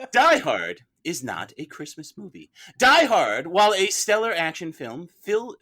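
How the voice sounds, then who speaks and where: A man's voice: fairly high pitch, pushed and bright. A man playing defense counsel in a comic mock trial, delivering a theatrical, mock-grave speech. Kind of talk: scripted comic courtroom speech.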